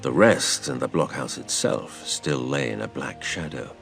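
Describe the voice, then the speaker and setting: A man's voice: a bass voice, unhurried, gravelly, a bit bright, soft background music, heavily acted. A man playing a grown adventurer recounting his boyhood exploits, in theatrical, literary scripted narration. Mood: gripping, reflective. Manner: theatrical storytelling.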